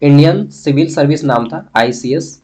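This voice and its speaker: A man's voice: a little high, normal speed, a little mellow, low-quality recording. A man with a Jharkhand Hindi accent teaches an exam-prep lesson informally and colloquially, asking questions and answering them himself as he addresses students. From Jharkhand, India. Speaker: history teacher for college students.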